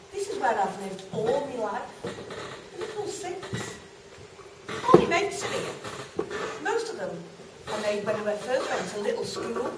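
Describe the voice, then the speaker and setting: A woman's voice: high voice, pitched above the performer's own voice, full character voice. A woman playing a chatty, nostalgic working-class northern English woman in an amateur stage monologue, asking the listeners questions. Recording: roomy, distant mic, noisy live hall.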